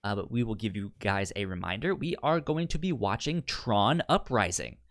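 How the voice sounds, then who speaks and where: A man's voice: fairly low pitch, lively intonation, moderate pace, a bit bright, no room sound, clean studio sound. A man co-hosting a podcast in casual, enthusiastic, nerdy chat, often stuttering and repeating sounds on words. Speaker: podcast co-host.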